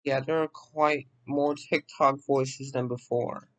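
A man presenting a software demo in a casual walkthrough, pausing haltingly between phrases. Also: medium pitch, level tone. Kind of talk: casual screen-recording walkthrough. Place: voice-over on a screen recording.

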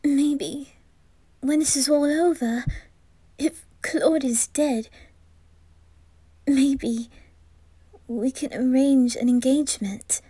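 A woman plays a lovestruck teenage boy in an intimate audio roleplay. The voice is high and breathy, with slow, hesitant phrasing. He sounds trusting and vulnerable, recorded close and dry. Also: no room sound. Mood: tender, hopeful. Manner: breathy, hushed, hesitant delivery. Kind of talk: whispered ASMR roleplay acting.

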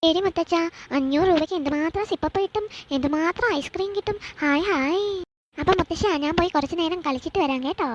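A performer voicing a lively little boy in a cartoon skit, pleading and stubborn in a whiny, childlike way. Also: very high voice, fast, muffled recording. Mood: excited.

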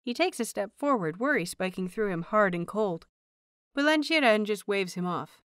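A woman's voice: mid-range voice, lively intonation, moderate pace, smooth, no echo. Woman narrating fiction, dry close sound. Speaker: audiobook narrator of fan fiction. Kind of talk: expressive scripted story narration.

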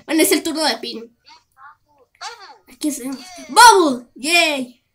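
High, bright cartoon voice, a woman acting in Latin American Spanish. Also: lively intonation, takes it slowly, full character voice. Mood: bickering. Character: squabbling animated object characters picking teams. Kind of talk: fan dub of an animated cartoon, acted character lines.

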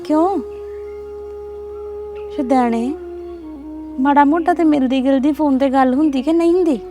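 A woman's voice: high-pitched, normal speed, lightly acted. A woman acting a caring older sister who comforts and advises in a soothing, firm way. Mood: consoling. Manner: soothing, pleading, then firm advice. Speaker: actor. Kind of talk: scripted drama acting. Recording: distant mic with faint music.

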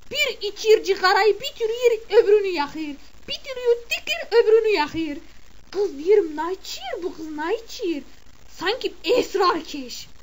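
A woman playing a shrill gossiping village mother. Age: middle-aged. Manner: chatty, exclamatory, scolding. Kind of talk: comic character monologue.

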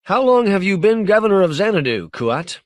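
A man's voice: sits high, big pitch swings, fairly quick, dry recording, studio quality, voice pushed up. A man playing a courteous, curious space lord. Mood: casually probing. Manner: formal, casually probing.

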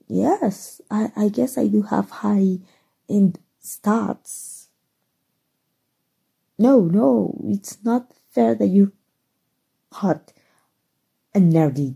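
A shy, awkward gamer superheroine, played by a woman in an ASMR roleplay. Her voice is very soft and mellow, husky with breath and touched with creak. She speaks slowly and haltingly, stammering as she gets flustered. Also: medium pitch, heavily acted. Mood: flustered, smitten. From Mexico.

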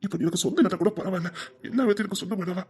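A performer as a husky, coaxing young lover. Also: medium pitch, racing. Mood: pleading, insistent. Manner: soft, hushed, coaxing. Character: possessive, tender young man, determined lover. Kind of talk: audio romance drama.

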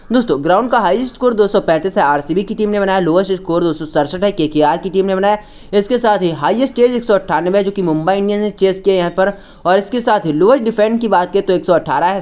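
A woman's voice: fairly low pitch, darkish tone. A woman narrating a scripted sports preview for an online video, addressing viewers directly in a formulaic way. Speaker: cricket match preview presenter.

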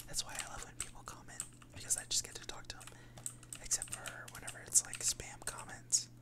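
An ASMR channel host whispering rambling, self-deprecating commentary while playing a shooter game, voice raspy from the late hour. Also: a little fast.

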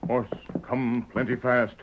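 A man's voice: medium pitch, level tone, slow pace, close to an everyday voice. A man playing a loyal, stolid companion of a cowboy hero in a 1930s radio drama, in terse, stilted broken English. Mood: alert, concerned. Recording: crackly muffled 1930s radio recording.

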